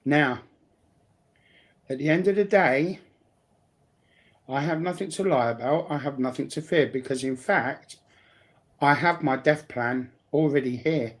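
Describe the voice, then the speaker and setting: A man's voice: mid-range voice, unhurried, pauses often. A blunt, sweary man chatting casually as a guest on a livestream.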